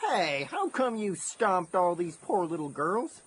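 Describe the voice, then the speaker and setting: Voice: medium pitch, big pitch swings, measured pace, fairly bright tone. Cocky folksy vulture, drawly n theatrical, voice swoops all over, bit of noise behind it. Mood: taunting, folksy. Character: wisecracking, folksy turkey vulture, cocky and mocking. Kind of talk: audio horror-comedy drama acting.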